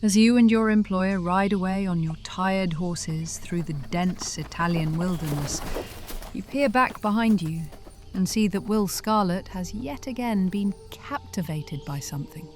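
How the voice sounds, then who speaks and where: A woman narrating a scripted fantasy tale in a soft, measured, suspenseful ASMR storytelling style. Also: medium pitch, a little hiss or hum.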